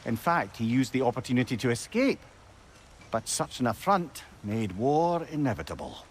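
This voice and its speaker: A man's voice: medium pitch, very animated, moderate pace, a little hiss or hum, close to an everyday voice. A man voicing a learned old advisor in a video game, eloquent and wry, with a Scottish accent. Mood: storytelling. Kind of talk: video game storytelling and banter.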